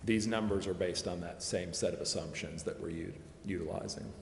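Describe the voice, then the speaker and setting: A man advising a city committee, explaining figures in a measured way and pausing to recall details. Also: low voice. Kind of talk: explaining figures at a public meeting. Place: city council chamber. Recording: echoey chamber, long reverb, faint hiss.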